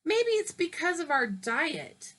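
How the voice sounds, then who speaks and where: A woman's voice: sits high, very animated, takes it slowly, a bit bright, voice pushed up, exaggerated voice. A woman voicing a male wolf who narrates his own version of a storybook in a chatty, persuasive way. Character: smooth-talking male wolf telling his side.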